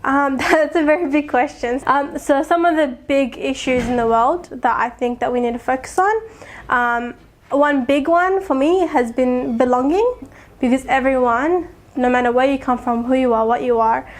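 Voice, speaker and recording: A young woman from Afghanistan, speaking earnestly and thoughtfully in an interview, with soft laughs and frequent pauses to think. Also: high voice. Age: young adult. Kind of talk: reflective personal testimony.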